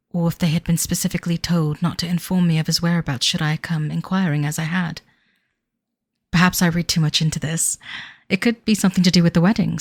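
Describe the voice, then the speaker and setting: Low-voiced, wistful 1930s bride-to-be played by a woman. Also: no room sound, studio quality. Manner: formal, wistful, measured period diction. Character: young bride-to-be in 1930s, devoted but uneasy. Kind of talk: audio drama diary reading.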